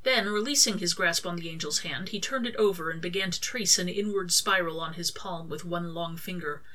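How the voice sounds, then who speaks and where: A woman's voice: lowish voice, a little fast, pushed and bright. A woman narrating her own scripted fiction as an audiobook-style reading. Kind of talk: scripted story narration with acted dialogue.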